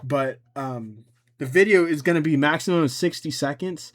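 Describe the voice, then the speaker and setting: A man presenting an informal step-by-step software tutorial, rambling a little and thinking out loud as he goes. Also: on the high side, normal speed. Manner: informal, rambling, thinks aloud and talks to himself. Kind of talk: casual screen-recorded software tutorial.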